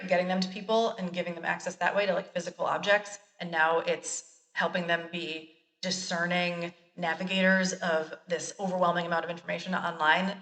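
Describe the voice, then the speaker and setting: A woman in her thirties, a college librarian chatting as a guest, self-deprecating and quick to laugh. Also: fairly low pitch, a bit bright, recorded from a distance. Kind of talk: casual interview chat. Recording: echoey room, voice from off the mic.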